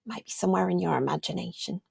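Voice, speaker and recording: A woman leading a guided meditation in a gentle, soothing, unhurried way, leaving long pauses and letting phrases trail off almost to a whisper. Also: fairly low pitch, vocal fry, husky, no room sound, studio quality. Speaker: meditation guide, yoga teacher.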